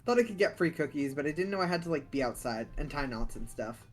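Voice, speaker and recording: A man voicing a dry, sarcastic teenage daughter in a video game, with a flat deadpan delivery. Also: a little high, quick pace, slight background noise, natural voice.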